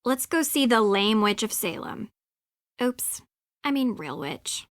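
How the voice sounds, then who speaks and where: A woman's voice: a little high, lively intonation, takes it slowly, no echo, clean studio sound. A woman playing a sardonic, reluctant young sidekick in a video game, casual and flippant. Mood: sarcastic. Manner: wry, flippant.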